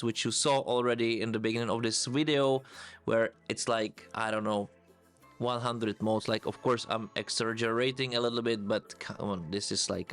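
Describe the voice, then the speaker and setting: A man's voice: medium pitch, fairly bright tone. A photographer talks through his camera review in loose, eager English. His voice sits in an ordinary middle range. Faint music plays beneath him. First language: Czech. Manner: enthusiastic, loose non-native phrasing. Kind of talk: casual gear review.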